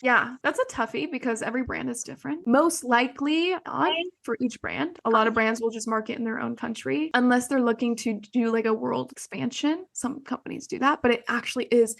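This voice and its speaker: An upbeat woman coaching a group over a video call, her voice sitting a little high with a gravelly fry at the ends of phrases. She encourages her listeners warmly and checks in with them often. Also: brisk, hardly any pauses. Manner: upbeat, encouraging, says "guys" and "right?". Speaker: UGC coach answering questions. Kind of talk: casual group call, answering questions.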